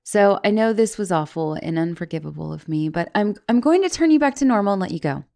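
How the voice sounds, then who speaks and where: A young woman playing a guilty, caring giantess college student in an intimate ASMR roleplay, hesitant and stammering, with soft turns toward whispering. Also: medium pitch, lively intonation, quick pace, gravelly. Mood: apologetic, gentle. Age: young adult. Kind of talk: ASMR roleplay, intimate one-sided dialogue.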